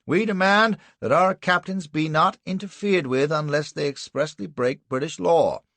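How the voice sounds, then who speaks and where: A man voicing an indignant, blustering male defence lawyer in an audiobook, pompous and forceful in formal speech. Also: on the high side, normal speed, no room sound, voice pushed up. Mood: insistent, formal. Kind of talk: audiobook character acting.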